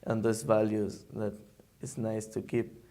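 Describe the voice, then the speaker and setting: A young man with an Israeli accent, a camp counselor answering interview questions in careful, halting English as he searches for words. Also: fairly low pitch, monotone, dark tone. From Argentina, raised in Israel.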